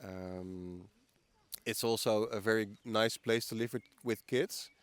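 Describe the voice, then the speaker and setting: Smooth-voiced Dutch man in his forties. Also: fairly low pitch, steady tone, slow, no echo. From the Netherlands. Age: early 40s. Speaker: podcast guest. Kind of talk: casual conversational interview answers. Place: outdoors in a park.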